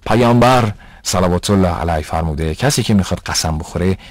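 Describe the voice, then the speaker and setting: A man playing a patient, pious father who explains rules in a measured, didactic way in an educational cartoon. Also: sits low, dry recording, natural voice. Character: patient, pious father teaching his son religious rules. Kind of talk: dubbed educational cartoon dialogue.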